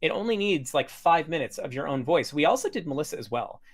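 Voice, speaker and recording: Chatty man with a lively up-and-down voice. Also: fairly high pitch, fast, breathy edge. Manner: chatty, many fillers and restarts. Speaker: host demoing software. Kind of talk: casual livestream demo.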